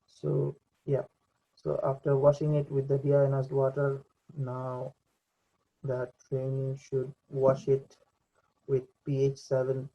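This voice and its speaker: A young man giving an unscripted voice-over for a software demonstration, with hesitant, halting delivery. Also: mid-range voice, monotone, creaky. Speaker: presenter demonstrating a VR training simulation. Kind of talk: unscripted screen demo voice-over. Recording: dull lo-fi computer mic recording.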